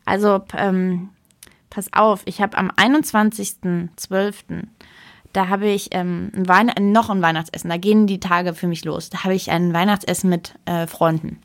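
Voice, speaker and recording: A young woman co-hosting a podcast, chatting casually in an animated, emphatic, rambling way. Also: on the low side, moderate pace. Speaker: podcast co-host.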